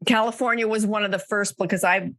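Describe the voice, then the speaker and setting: A woman's voice: medium pitch, steady tone, fast talker, a bit bright, dry recording. An older woman hosting a casual, chatty conversational interview in an easygoing storytelling manner. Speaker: podcast host.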